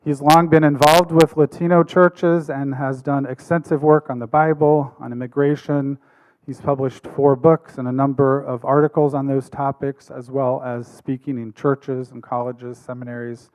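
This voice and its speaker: A man moderating an academic symposium, introducing the speakers in a relaxed way with frequent pauses. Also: medium pitch, low-quality recording.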